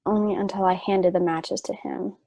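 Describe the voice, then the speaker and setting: A woman playing a sick, trusting wife in a stage play reading, wistful and gently earnest. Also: mid-range voice, fairly even intonation, normal speed, gravelly, no room sound. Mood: wistful, fond.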